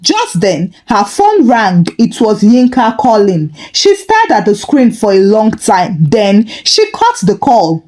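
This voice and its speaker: A woman narrating a scripted dramatic story in a deliberate, expressive storytelling manner. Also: medium pitch.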